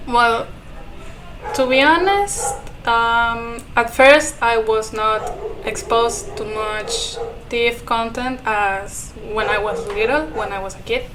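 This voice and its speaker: A woman's voice: a little high, slow, noisy background. A young woman from Ecuador whose first language is Spanish, speaking English with an Ecuadorian Spanish accent. She is a guest in a casual podcast chat and talks with frequent giggles while searching for words.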